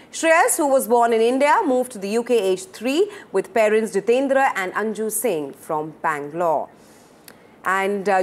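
Woman TV news anchor, higher-pitched, formal and measured interviewer. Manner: measured, formal presenting with brief listening murmurs.

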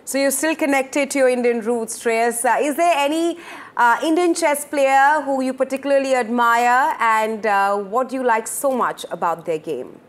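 Woman TV news anchor, higher-pitched, formal and measured interviewer. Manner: measured, formal presenting with brief listening murmurs.

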